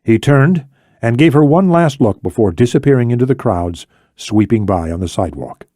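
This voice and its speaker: A man's voice: medium pitch, animated, moderate pace, mellow, no echo, studio quality. A man reading scripted thriller narration as an audiobook narrator.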